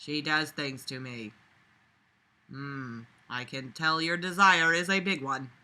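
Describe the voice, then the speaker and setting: Woman, piercingly bright forceful voice, sarcastic, exasperated, sweary, mocking a book while reading it. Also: sits low, slow. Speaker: reader and commentator. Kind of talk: mocking read-aloud of a novel with casual commentary.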